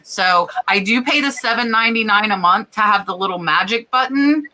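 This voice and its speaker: A woman's voice: medium pitch, normal speed, pushed and bright, slight background noise, rough recording. A woman from Florida hosting a live stream chat, talking casually, laughing and reading out viewer comments. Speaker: host.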